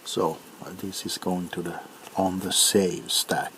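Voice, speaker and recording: A very low-voiced man chats casually in ASMR style, halting and correcting himself as he goes. The recording has clear background noise, with clicks, tapping and crinkling of packaging behind the voice. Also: flat delivery. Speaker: video host showing items. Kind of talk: ASMR, casual rambling chat.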